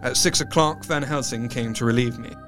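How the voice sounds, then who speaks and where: Audio drama narrator: dutiful, downcast male doctor, played by an actor, average pitch, formal measured diary reading, clear musical bed. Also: music in the background, close to an everyday voice. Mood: uneasy.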